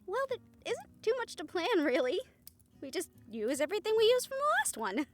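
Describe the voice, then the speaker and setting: A woman acts a devoted young vampire bride in a fantasy audio romance. Her high voice is expressive and affectionate, with an excitable temper. Soft music sits faintly behind her. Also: normal speed. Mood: excited.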